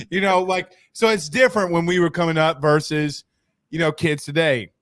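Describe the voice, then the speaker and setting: A man hosting a sports podcast, interviewing in a casual, animated and very informal way. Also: on the high side, moderate pace, no echo, studio quality. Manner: animated, informal, peppers talk with "you know, like".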